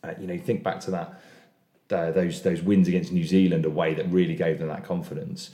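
A man's voice: low voice, flat delivery, brisk, dark tone, reverberant. A man hosting a casual rugby chat, enthusiastic and opinionated, often restarting his words. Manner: enthusiastic, opinionated, repeats words when starting. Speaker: podcast host.

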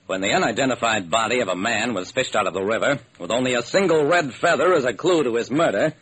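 A man's voice: medium pitch, quick pace, fairly bright tone, husky. An actor playing a hardboiled American private detective who wryly narrates his own case in an old-time radio drama. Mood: wry, intent. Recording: noisy old radio recording.

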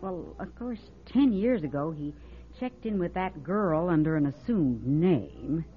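An actress playing a chatty small-town motel clerk in an old-time radio drama, gossipy in manner. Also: female voice, low voice, lively intonation, a little slow. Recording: tinny lo-fi radio broadcast.

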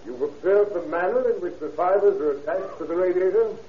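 A man's voice: sits high, brisk, natural voice. A man playing an aggressive, sardonic prosecutor cross-examining pointedly in an old-time radio courtroom drama. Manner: pointed, sarcastic. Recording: distant, echoey, tinny radio recording with hiss.

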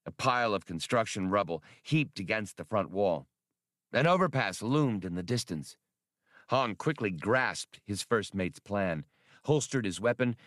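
A man's voice: fairly low pitch, a bit bright, no room sound, clean studio sound. A man reading an adventure novel as scripted, dramatic audiobook narration.

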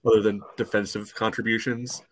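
A man giving casual soccer analysis as a podcast panelist, phrasing things haltingly with frequent filler phrases. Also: on the low side, flat delivery. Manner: hesitant phrasing peppered with "you know".

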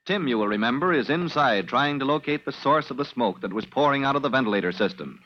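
A man's voice: medium pitch, animated, quick pace. A man narrating an old radio drama in a dramatic, declamatory announcer style. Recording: dull old radio with music.

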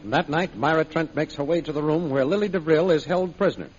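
A man's voice: on the high side, fairly quick, soft background music. A man narrating a radio serial in scripted 1940s style. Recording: muffled old radio recording.

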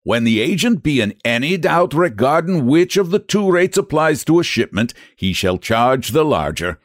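A man's voice: on the high side, big pitch swings, normal speed, dry recording, studio quality, voice pushed up. A man voicing a stubborn, rule-bound Irish express agent who speaks in thick dialect and mocks the customer. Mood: stubborn mocking.

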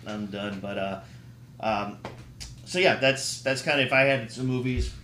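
A man's voice: mid-range voice, a little slow, pushed and bright. A man in a casual podcast chat about video games and movies, talking in a rambling, conversational way. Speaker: podcast co-host. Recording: roomy echo with faint noise.

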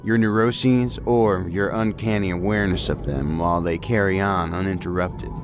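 A man acting as a male counterterrorism agent in a sci-fi audio drama, with a low voice. He is blunt and impatient, skeptical of his partner and sardonic about it. Music runs clearly underneath. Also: fairly even intonation, normal speed, natural voice. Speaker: federal agent.

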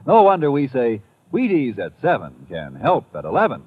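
A man delivering an upbeat, emphatic sales pitch as a commercial announcer in 1950s radio advertising style. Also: wildly swooping pitch, normal speed, husky. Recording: thin phone-like old radio recording.

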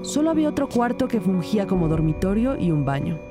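A woman playing an observant detective who narrates a crime story in a measured, matter-of-fact way. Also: medium pitch, very animated, over music, close to an everyday voice. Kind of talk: scripted first-person narration of crime story.

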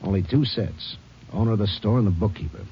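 Casting: male actor for an obliging country sheriff, plain American delivery, 1950s radio western, muffled with clear background noise. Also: fairly low pitch, fast talker, natural voice. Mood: businesslike. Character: obliging, brisk small-town Texas sheriff. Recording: dull, noisy old radio recording.

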